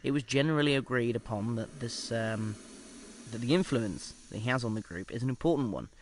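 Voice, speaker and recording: An actor playing a measured research scientist who dictates an experiment log in a formal, clinical manner, with hesitant pauses, in an audio drama. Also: male voice, mid-range voice, a little hiss or hum, natural voice. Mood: measured, uneasy.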